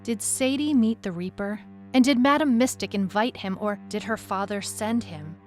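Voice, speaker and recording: A woman playing a tough, weary, skeptical detective who narrates her case in a measured, dramatic, reflective way. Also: mid-range voice, a little mellow, natural voice. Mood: reflective, uneasy. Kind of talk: scripted first-person story narration. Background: music bed under the voice.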